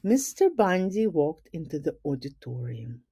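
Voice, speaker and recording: A woman reading a picture book aloud like a teacher, in a deliberate, explanatory way. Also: deep voice, sing-song, warm tone, slightly rough, dry recording. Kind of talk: storybook read-aloud with brief explanations.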